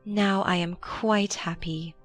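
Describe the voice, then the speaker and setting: A woman playing a gentle, earnest, affectionate young gentlewoman in a dramatized period audiobook. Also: lowish voice, steady tone, slow pace, soft background music. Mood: happy, affectionate. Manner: earnest, tender.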